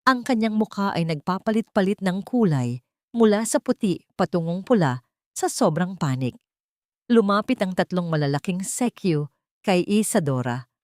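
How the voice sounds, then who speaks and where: Female Filipino narrator for dramatic audiobook fiction; lowish register, very dry recording. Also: lively intonation, normal speed, a little mellow, dry recording. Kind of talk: dramatic scripted story narration.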